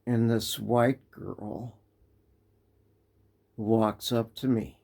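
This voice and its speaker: An American man telling a personal story in a casual, halting way, full of restarts and fillers. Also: on the low side, level tone, vocal fry, a little mellow. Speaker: vlogger. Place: living in Japan.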